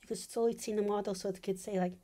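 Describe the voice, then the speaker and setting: A woman's voice: mid-range voice, fairly even intonation, quick pace, warm tone. A woman giving an informal explanatory monologue, thinking aloud as she explains technical ideas step by step. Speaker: video essayist.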